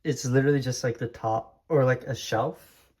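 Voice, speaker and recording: A man's voice: medium pitch, steady tone, normal speed, darkish tone. A young man from Taiwan, a native Hokkien speaker, casually rambling as he tours his diecast collection room, heavy on fillers and restarts. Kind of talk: casual room tour vlog.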